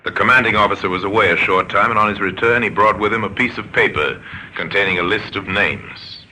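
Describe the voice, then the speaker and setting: A man's voice: on the low side, brisk, bright, forceful tone. A man narrating a scripted old-time radio mystery on a noisy vintage recording.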